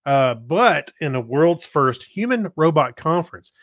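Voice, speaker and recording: A man's voice: fairly high pitch, sing-song, unhurried, fairly bright tone, no echo. Amused man bantering in a dry-sounding recording. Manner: jokey, loose and amused. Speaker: podcast co-host. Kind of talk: casual banter and reading news aloud.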